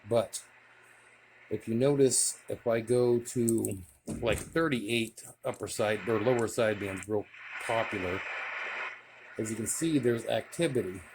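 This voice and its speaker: A man casually showing off his radio gear in a relaxed, enthusiastic way, with many fillers. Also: medium pitch, noisy background. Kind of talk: casual show-and-tell vlog.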